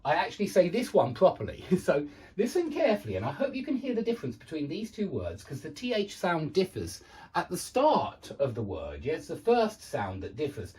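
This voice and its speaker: An English teacher with a husky voice gives a pronunciation lesson. The pitch swings around freely while examples are repeated slowly and carefully, in a frank, self-deprecating way. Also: a little mellow. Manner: self-deprecating, frank about own difficulty; careful, repetitive enunciation of examples. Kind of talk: instructional lesson with demonstrated sounds.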